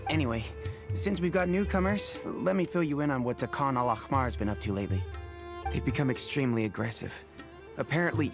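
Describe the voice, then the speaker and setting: An earnest, friendly male mercenary from a video game, voiced by a man. He explains in a medium-pitched, casual voice, with music clearly playing behind him. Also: close to an everyday voice. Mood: friendly, serious.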